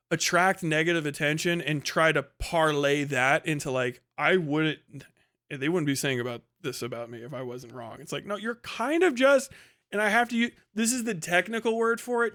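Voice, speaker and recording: A man's voice: on the high side, fairly bright tone. A man hosting a livestream, giving a casual, opinionated rant in a blunt, scornful, profane manner. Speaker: livestream host and commentator.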